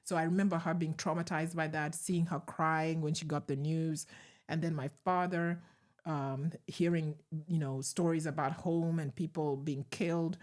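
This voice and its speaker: Low-voiced Ugandan-accented woman. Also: steady tone. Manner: reflective, measured, with soft laughs. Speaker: guest. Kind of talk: conversational interview storytelling.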